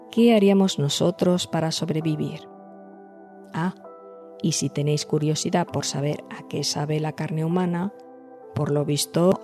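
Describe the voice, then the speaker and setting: A woman hosting and narrating a scripted storytelling podcast, addressing listeners directly in measured phrasing. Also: low voice, warm tone. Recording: music behind the voice. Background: background music.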